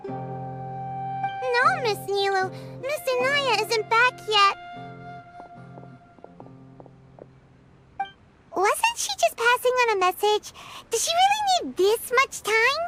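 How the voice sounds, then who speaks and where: Squeaky, extremely high childlike companion. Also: female voice, lively intonation, slow, exaggerated voice. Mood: curious. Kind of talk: video game voice acting. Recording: loud music behind the voice.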